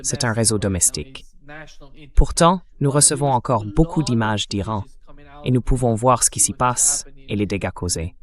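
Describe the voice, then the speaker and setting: Male, synthetic voice, medium pitch, interviewer, translated interview voice-over. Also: normal speed.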